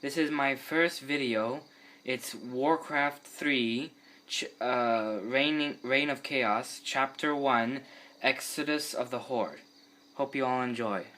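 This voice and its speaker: A man's voice: medium pitch, takes it slowly, bright, forceful tone, rough recording. A man giving a casual, hesitant intro to his first gameplay video. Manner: hesitant, stumbling. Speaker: video uploader.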